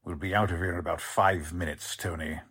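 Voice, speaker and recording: An actor playing a curt, wary gang boss in a 1940s-style radio crime drama. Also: male voice, sits low, steady tone, brisk, a bit bright, slightly rough. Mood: brisk, commanding. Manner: curt, commanding. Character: male gang boss, commanding, wary crook.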